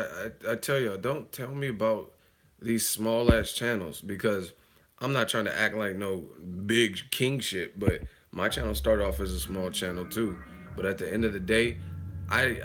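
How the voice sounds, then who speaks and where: Hoarse man rambling on a live stream. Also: lowish voice, normal speed, soft background music. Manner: rambling, loose and profane, with many fillers like "you know what I mean". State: hoarse, losing his voice. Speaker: boxing commentary host on a live stream. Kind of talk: casual live-stream commentary.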